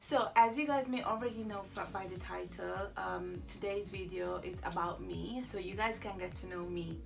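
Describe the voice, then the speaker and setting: A woman's voice: mid-range voice, normal speed, vocal fry, recorded from a distance, over music. A young woman from Italy chatting casually in a vlog, rambling with lots of fillers and restarts, sitting in a small room. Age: young adult. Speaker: YouTuber. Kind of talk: casual get-to-know-me chat.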